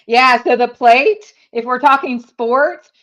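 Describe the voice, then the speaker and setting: A woman's voice: high voice, takes it slowly, fairly bright tone. A woman in her fifties, a native German speaker, chatting candidly as a sales trainer guest and laughing often. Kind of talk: casual interview chat.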